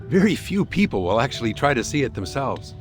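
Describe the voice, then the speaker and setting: A man's voice: mid-range voice, big pitch swings, fairly quick, soft background music, close to an everyday voice. Man playing old miner guide with swinging intonation. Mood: matter-of-fact, cautioning. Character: old male miner working as a tour guide. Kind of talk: scripted game voice acting.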